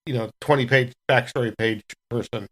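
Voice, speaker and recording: A man's voice: medium pitch, fairly even intonation, a little fast, a bit bright. A man who plays on a gaming podcast, chatting casually. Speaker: player on an actual-play podcast. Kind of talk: casual chat.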